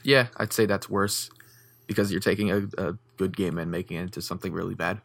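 A man's voice: deep voice, fairly even intonation, fairly quick, vocal fry, no echo. A young man co-hosting a casual podcast, speaking matter-of-factly and often repeating his words as he starts. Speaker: podcast co-host.

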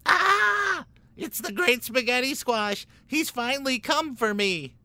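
A man's voice: extremely high pitch, lively intonation, slow pace, sharp tone, full character voice. A man playing an earnest, naive and jittery schoolboy in a comic radio play. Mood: terrified.

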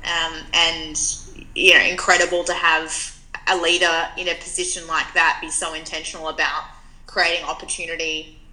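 Bright-voiced young woman. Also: low-pitched, level tone, normal speed, poor audio. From Australia. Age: 20s. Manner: reflective, storytelling, frequent restarts. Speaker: guest. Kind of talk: conversational interview answers.

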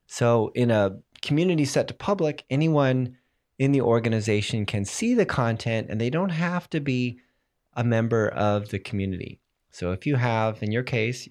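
Man, mid-pitched voice, podcast host, has a cold, clears throat at times, explains software. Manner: clears throat now and then, fillers.

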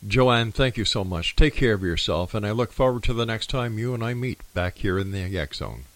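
A man's voice: fairly low pitch, lively intonation, moderate pace. A man hosting a radio talk show, thanking his guest and signing off in a friendly announcer manner.

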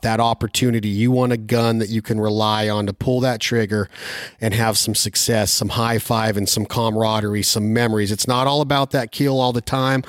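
A man's voice: medium pitch, no room sound. A man hosting an outdoors podcast in a studio, reading sponsor spots and asking questions with an enthusiastic, salesy delivery. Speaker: podcast host. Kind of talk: sponsor reads and interview questions.

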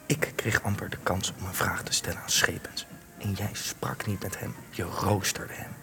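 A man's voice: sits low, moderate pace, creaky, a bit bright, in a whisper, faint music behind. An actor playing an indignant young male journalist in a radio play, articulate and reproachful. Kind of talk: radio play acting in Dutch.

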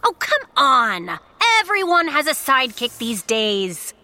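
A cheeky, excitable teenage girl villain's sidekick in an audiobook drama. Her voice is high and bright, swinging freely in pitch, with casual enthusiasm. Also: unhurried, exaggerated voice. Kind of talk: full-cast audiobook drama.

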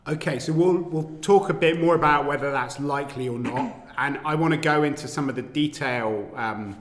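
A man's voice: a little high, moderate pace. A native English-speaking man moderating a political panel discussion, with hesitant phrasing and repeated words. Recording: echoey, reverberant hall.

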